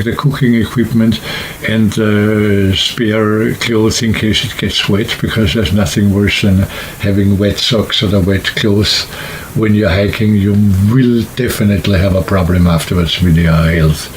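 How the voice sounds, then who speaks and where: A man's voice: on the low side, low-quality recording. Man rambling casually over background noise. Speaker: hiking vlogger and trip organizer. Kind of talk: casual unscripted talk to camera.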